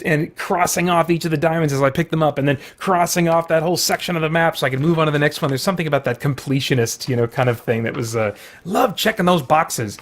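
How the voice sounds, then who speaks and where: Higher-pitched man rambling casually. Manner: chatty, meandering, self-interrupting asides. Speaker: video host showing a collection. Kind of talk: casual rambling vlog chat.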